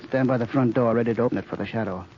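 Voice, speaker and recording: A man's voice: medium pitch, racing, loud music behind the voice, close to an everyday voice. Man playing taunting, ruthless 1930s radio crime boss. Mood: curt, scheming. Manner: commanding, taunting, contemptuous. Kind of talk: 1930s radio drama acting. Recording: muffled, noisy 1930s radio recording.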